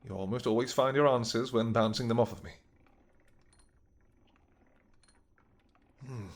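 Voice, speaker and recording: A man playing a devoted vampire king in an intimate audio roleplay, speaking earnestly and gently in halting, formal, old-fashioned words. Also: fairly low pitch, lightly acted. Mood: gentle, curious. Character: devoted vampire king, tender, anxious, apologetic husband.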